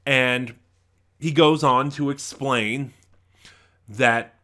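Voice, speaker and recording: A man giving a casual, rambling review, halting and correcting himself, with deliberate emphasis on key words. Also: mid-range voice, steady tone, bright, forceful tone. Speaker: audio drama reviewer.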